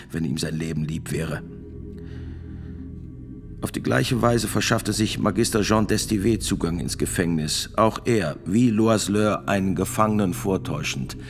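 An actor playing a grave priest and notary of sixty-six, testifying in a radio drama with gravelly, deliberate, formal speech. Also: male voice, sits low, faint music behind. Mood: grave, bitter. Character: sixty-six-year-old male priest and court notary, grave witness.

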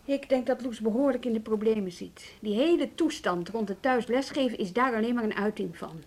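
A woman acting in a naturalistic radio drama as a composed, frank school colleague with a measured, slightly husky voice. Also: a little high, darkish tone. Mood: calm, frank.

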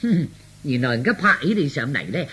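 Man playing a gossipy tipsy aunt, raspy. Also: fairly high pitch, big pitch swings, fairly bright tone, exaggerated voice. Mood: flattering, delighted. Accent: Cantonese. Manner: chatty, drawn-out interjections. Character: gossipy, tipsy middle-aged matchmaking aunt, flattering and frank. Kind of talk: Cantonese storytelling radio drama.

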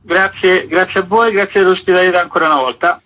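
A chatty man, his voice bright and fairly high, talking about his festival as a radio guest. He rambles a little, with fillers and offhand jokes. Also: steady tone, fast talker, lo-fi sound. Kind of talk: radio interview. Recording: radio broadcast.

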